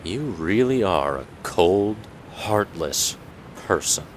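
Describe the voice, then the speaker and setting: Man playing a deep-voiced, calm detective. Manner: measured and composed. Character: calm, composed male private investigator. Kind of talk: amateur radio drama acting. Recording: noisy amateur recording.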